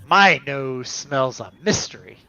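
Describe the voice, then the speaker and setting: A man's voice: mid-range voice, animated, takes it slowly, sharp tone, muffled recording. A man reading a comic book aloud casually, with theatrical amateur delivery.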